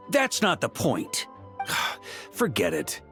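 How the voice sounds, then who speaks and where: Middle-aged knight grand master in a game: gruffly paternal, scolding, hugely varied pitch, faint music. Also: male voice, huge pitch swings, very slow, bright, forceful tone, heavily acted. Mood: stern, paternal. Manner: scolding, sighing, authoritative. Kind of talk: anime game voice acting.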